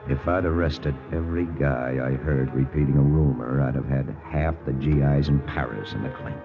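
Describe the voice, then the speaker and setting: An actor playing a wry American army lieutenant hunting spies, in a 1940s radio drama with first-person narration. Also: male voice, extremely low pitch, steady tone, moderate pace. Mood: wry, confiding. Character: wry American army lieutenant and spy-hunter, quietly lovesick. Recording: muffled old radio recording, music behind.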